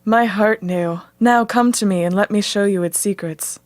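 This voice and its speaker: A woman's voice: mid-range voice, normal speed, darkish tone, no echo, close to an everyday voice. A woman playing a polite, tender-hearted young princess in love in an audio drama, formal and earnest. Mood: amazed, loving.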